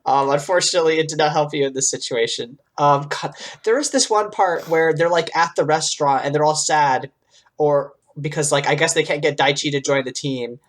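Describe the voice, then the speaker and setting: A woman's voice: low-pitched, fairly quick. A woman co-hosting a podcast in casual conversation, acting out reactions as she quotes them. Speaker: podcast co-host. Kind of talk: casual pop-culture chat.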